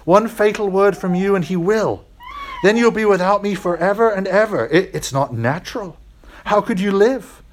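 A man's voice: on the high side, lightly acted. Man doing a sly, wheedling lizard. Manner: soft, coaxing, sly. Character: small wheedling lizard on a ghost's shoulder.

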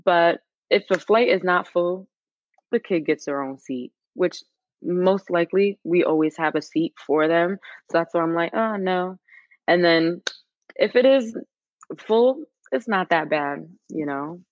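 A woman's voice: lowish voice, a little slow, no room sound, dull audio. A woman chatting informally as a podcast guest, in a casual and conversational way.

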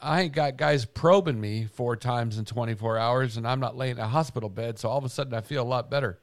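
A man's voice: medium pitch, quick pace, no echo. A man co-hosting a morning talk show, chatting casually with crude wisecracks. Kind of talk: casual chat.

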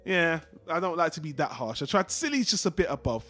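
A high-pitched male podcast host talks quickly and casually about films, with music underneath. He rambles and digresses freely, sharing his opinions as he goes. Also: lively intonation, fairly bright tone. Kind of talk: casual film discussion podcast.

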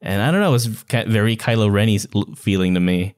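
A man's voice: fairly low pitch, fast talker, a little mellow. A man co-hosting a podcast, chatting casually and informally about books, sometimes searching for words. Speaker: podcast co-host. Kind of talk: casual book discussion chat.

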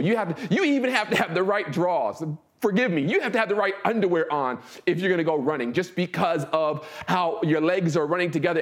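An American man of forty giving a conversational talk, informal and emphatic, repeating phrases and checking in with his listeners. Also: a little high. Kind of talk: conversational motivational talk with personal anecdotes.

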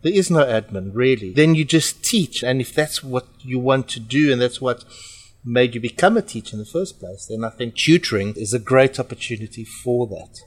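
A man's voice: mid-range voice, animated, normal speed, slightly rough. South African-accented man, earnest podcast guest. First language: Portuguese. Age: middle-aged. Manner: earnest, reflective, with fillers. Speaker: podcast guest, franchise owner. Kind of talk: casual interview talk.

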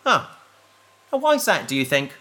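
A man's voice: on the high side, very animated, moderate pace, pushed and bright. An actor playing an earnest, naive male space researcher in a sci-fi audio drama, speaking politely and a little pompously. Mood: polite, curious.

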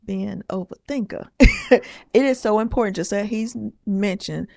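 Soft-spoken woman with a gravelly edge. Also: medium pitch, moderate pace, dry recording, studio quality. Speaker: podcast co-host. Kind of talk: casual married-couple chat.

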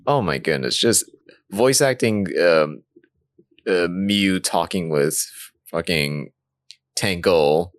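A man's voice: sits low, lively intonation, slow, pauses often, dry recording, clean studio sound. A man co-hosting a podcast in casual, friendly conversation. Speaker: podcast co-host. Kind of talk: casual chat.

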